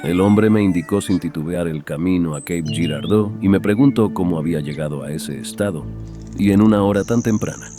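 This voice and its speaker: A man with a low, deep voice narrates a horror story in a dramatic, scripted audiobook style. Music plays clearly behind him. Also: brisk. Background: background music.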